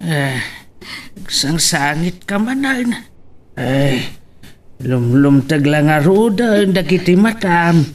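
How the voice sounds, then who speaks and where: Radio drama casting: kindly, consoling old grandad, unhurried delivery with animated swings in pitch. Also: male voice. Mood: gentle, concerned. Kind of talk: melodramatic radio drama acting.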